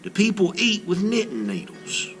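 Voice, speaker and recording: A man's voice: high-pitched, very animated, normal speed, a bit bright, faint music behind, voice pushed up. A man voicing a wistful, wonder-filled young traveler writing letters home in a children's storybook. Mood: curious, chatty. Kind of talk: children's storybook read-aloud.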